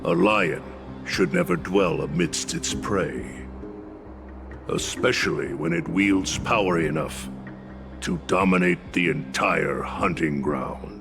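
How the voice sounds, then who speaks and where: An actor playing a stern old warrior retainer in a video game, proud and scornful, with a gravelly voice and grave, formal delivery. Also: male voice, deep voice, music in the background, full character voice. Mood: grave counsel. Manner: grave, formal, deliberate oratory. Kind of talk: dramatic video game acting.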